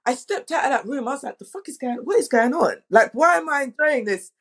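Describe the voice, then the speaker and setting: A woman's voice: high-pitched, animated, fairly quick, slightly rough. A woman speaking as a drama director, telling candid anecdotes in an informal, emphatic way and acting out quotes.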